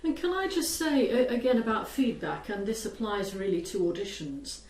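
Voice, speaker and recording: A woman's voice: mid-range voice, sing-song, normal speed, darkish tone. A woman co-presenting a coaching webinar, adding practical explanatory points in a conversational way. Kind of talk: explanatory teaching talk. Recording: echoey room, distant from mic.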